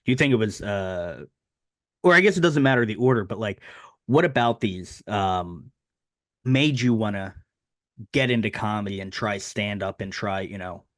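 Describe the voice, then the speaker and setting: A man with a New Jersey accent hosting a casual podcast interview, asking questions haltingly with many fillers and restarts. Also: on the low side, expressive, fairly bright tone, dry recording, studio quality. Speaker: podcast host.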